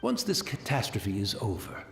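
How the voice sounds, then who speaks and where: A man's voice: lowish voice, huge pitch swings, normal speed, creaky, faint music behind, exaggerated voice. Old blind tea man, super polite, rambly and wistful, voice in a roomy echo. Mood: courteous. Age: older. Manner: courteous, formal, rambling and wistful. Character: elderly blind male tea master, gentle and resigned. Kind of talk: game voice acting.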